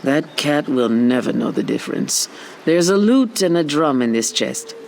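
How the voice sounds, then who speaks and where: An actor playing a sick, exhausted, hungover musician in a fantasy game. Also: male voice, on the high side, animated, moderate pace, creaky, a little hiss or hum. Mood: weary, persuasive. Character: queasy, hungover male musician, sorry but scheming. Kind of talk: scripted fantasy game dialogue.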